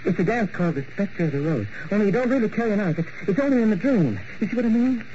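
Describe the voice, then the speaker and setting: Unhinged male ballet star, theatrical, very animated higher voice, old radio thriller. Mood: agitated, insistent. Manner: theatrical, halting, intense. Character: unstable, dreamy, grandiose male ballet dancer. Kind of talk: 1940s radio mystery acting. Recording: muffled, hissy old radio broadcast.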